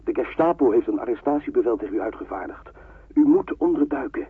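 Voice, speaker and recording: Urgent resistance helper, respectful, radio drama, very husky voice, dry tinny sound. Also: male voice, mid-range voice, animated, fast, dry recording. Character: urgent resistance farm man warning his pastor. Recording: dry, tinny telephone-like old radio recording.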